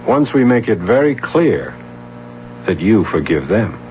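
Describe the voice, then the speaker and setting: An actor playing a steady police officer who speaks calmly and coaxingly in an old radio drama. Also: male voice, on the low side, a little slow, slight background noise. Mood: persuasive. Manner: calm, coaxing. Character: steady police officer, adult man, persuasive. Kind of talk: 1970s radio drama acting. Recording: dull old radio recording.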